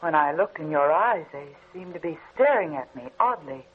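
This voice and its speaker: A woman's voice: deep voice, expressive, moderate pace, husky. An actress playing a tender, anxious young woman in love, with earnest, emotional old radio melodrama delivery. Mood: hopeful, relieved. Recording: tinny, hissy old radio recording.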